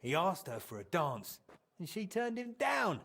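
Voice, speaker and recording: An actor voicing a chatty medieval townsman in a video game, telling colloquial, animated tales of old times with fondness. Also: sits high, measured pace, gravelly, heavily acted. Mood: amused storytelling. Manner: animated, colloquial storyteller acting out quotes. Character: chatty medieval townsman reminiscing fondly about an old drinking friend. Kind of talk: storytelling in video game dialogue.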